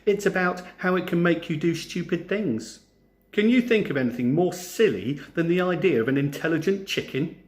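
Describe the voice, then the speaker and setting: A man's voice: fairly high pitch, lively intonation, moderate pace, pitched above the performer's own voice, close to an everyday voice. A man voicing a keen, opinionated girl in a storybook, explaining insistently and showing off. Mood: eager, lecturing. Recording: roomy, echoing sound.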